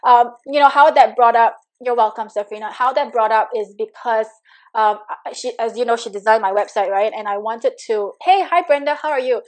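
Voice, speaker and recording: A woman's voice: a little high, brisk. A woman with a Singaporean accent coaching a live online class, chatty and encouraging, reading viewer comments aloud with frequent fillers. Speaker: money coach.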